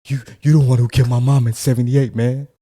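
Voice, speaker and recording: A man voicing an unhinged, grief-stricken man who shouts accusations and repeats himself insistently. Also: medium pitch, flat delivery, quick pace, voice pushed up, lightly acted. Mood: accusing, agitated.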